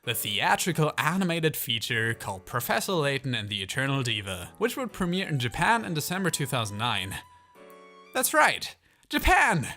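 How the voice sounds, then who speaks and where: A man delivering scripted video essay narration as a channel host, with animated, theatrical asides. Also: medium pitch, normal speed, soft background music.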